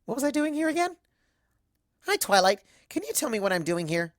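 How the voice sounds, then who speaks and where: A woman voicing an excitable, silly young female cartoon pony with chirpy, gushing delivery. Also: on the high side, a little fast, dry recording, studio quality, pitched above the performer's own voice. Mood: dramatic, scatterbrained. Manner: chirpy, gushing, childlike phrasing. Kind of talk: first-person cartoon fan fiction narration.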